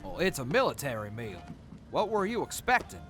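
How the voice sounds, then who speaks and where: A man's voice: fairly high pitch, sing-song, fairly quick, fairly bright tone, faint music behind. A man playing an easygoing, good-humored soldier in a post-apocalyptic audio drama. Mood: mild. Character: easygoing male wasteland soldier, good-humored crew member. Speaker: voice actor.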